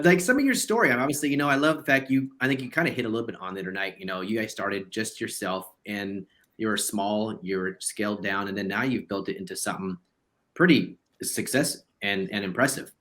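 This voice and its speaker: Fast-talking enthusiastic male host. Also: on the low side, a bit bright. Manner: enthusiastic, rambling. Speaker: podcast host. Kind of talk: casual podcast chat.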